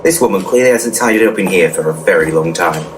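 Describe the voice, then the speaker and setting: Man playing a low, pompous handyman ghost-buster, distant. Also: quick pace, close to an everyday voice. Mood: pompous, assured. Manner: pompous, matter-of-fact. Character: cocky, crude, know-it-all male ghost-busting handyman. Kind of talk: full-cast comedy audio drama. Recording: distant mic, clear background noise.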